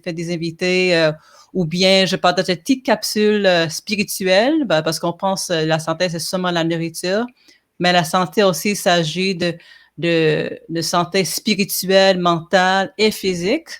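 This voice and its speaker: A woman's voice: on the low side, fairly even intonation, normal speed. A woman from Montreal whose first language is English, a podcast guest talking in a casual interview, hesitant with many fillers and restarts. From Montreal, Quebec.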